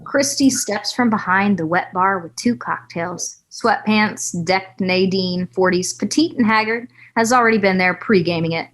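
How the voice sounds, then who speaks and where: A woman's voice: mid-range voice, a little slow, few pauses, fairly bright tone, low-quality recording. A woman reading scene headings and stage directions as the narrator of a screenplay table read.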